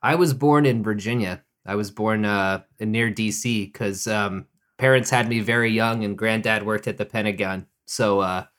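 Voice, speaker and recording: A man's voice: fairly low pitch, steady tone, moderate pace, a bit bright. A man from Oklahoma co-hosting a podcast, chatting in an easygoing, agreeable and thoughtful way. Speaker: podcast co-host. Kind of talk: casual conversation.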